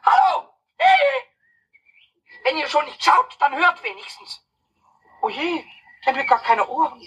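Tiny childish male kobold, piercing bright voice, wildly swooping, indignant, distant old radio play sound. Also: extremely high pitch, huge pitch swings, a little slow, full character voice. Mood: indignant, shouting. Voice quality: squawky, piercing. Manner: exclaiming, swooping. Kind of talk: 1980s German children's radio play acting.

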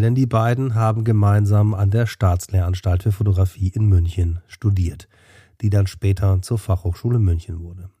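A male podcast host with a cold, speaking low and mellow in a dry, close recording. His intro talk is brisk and informal. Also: dry recording. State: has a cold. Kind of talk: solo podcast narration.

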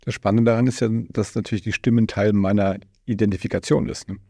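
A man with a low, creaky voice hosts a casual podcast conversation. He circles around his thoughts in rambling phrases. The sound is close and dry. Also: fairly even intonation, fast, dark tone, no echo. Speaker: podcast host interviewing a guest.

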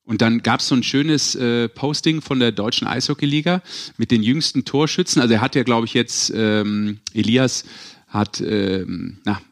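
A man's voice: mid-range voice, normal speed. A man with a mid-range voice hosts a sports podcast. He talks in a loose, casual way, as in a conversation among colleagues. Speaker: podcast host.

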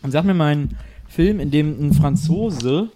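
A man's voice: a little high, normal speed, dark tone, a little hiss or hum. A man co-hosting a casual podcast chat, throwing in teasing, jokey remarks. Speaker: podcast co-host.

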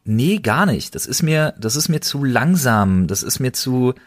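A man's voice: medium pitch, expressive, a little slow. A young man co-hosting a casual podcast, speaking in slang and repeating words for emphasis. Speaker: podcast co-host.

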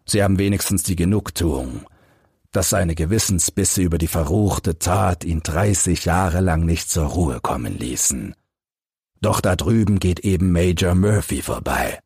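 A man voicing a calm, authoritative, knowing gentleman detective in an audiobook. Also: low-pitched, a little slow, husky, no room sound, clean studio sound, heavily acted. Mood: measured, grave. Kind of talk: audiobook character reading.

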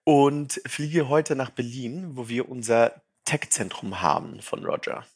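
A man's voice: mid-range voice, animated, unhurried, slightly rough. A man in his thirties, raised in Switzerland, with a light French-tinged accent, chatting casually as an interview guest and using lots of fillers. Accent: light French-tinged Swiss. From Brazil, raised in Switzerland. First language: Portuguese and French. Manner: chatty, self-deprecating, many fillers. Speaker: startup founder, guest.

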